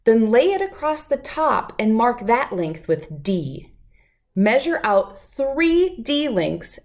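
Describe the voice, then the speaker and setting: Slow, lilting woman reading to children. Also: fairly high pitch. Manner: expressive, deliberate, lilting read-aloud delivery. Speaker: children's read-aloud host. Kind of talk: reading a rhyming picture book aloud to children.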